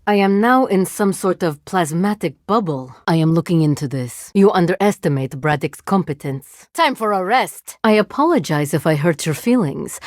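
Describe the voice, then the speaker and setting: A woman voicing a cold, haughty female cyborg mercenary scientist in a video game, speaking in a formal, precise, deadpan and stilted way. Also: low-pitched, no room sound, clean studio sound. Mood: haughty, resolute. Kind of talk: scripted video game character voice lines.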